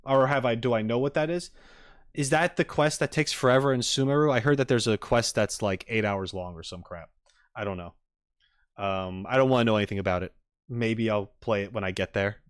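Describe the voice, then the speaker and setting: A man's voice: mid-range voice, a little fast, clean tone, dry recording, studio quality. A man streaming casually to viewers, rambling and thinking aloud as he gives tentative opinions. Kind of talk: casual live-stream chat, reacting and giving opinions.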